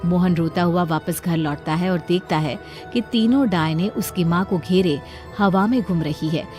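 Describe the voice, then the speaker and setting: A woman's voice: on the low side, moderate pace, darkish tone. A woman reading scripted story narration as the narrator. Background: music.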